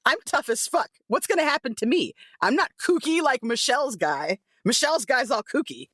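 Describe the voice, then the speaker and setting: A woman in casual, animated chat, playful and theatrical, happy to swear as she tells a story. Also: high-pitched, normal speed, no room sound, clean studio sound. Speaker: tabletop role-play performer.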